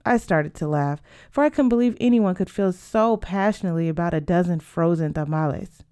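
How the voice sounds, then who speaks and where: A woman's voice: on the low side, a little fast, dark tone, clean tone, dry recording, clean studio sound. A woman narrating a first-person story as a scripted audiobook reading. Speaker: audiobook reader.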